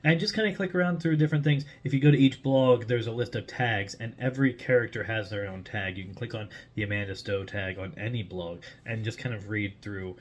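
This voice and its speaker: A man's voice: on the low side, a little fast, hardly any pauses. A man speaking casually and informally, explaining things in a conversational monologue as a writer and host. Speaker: writer and host introducing a story character.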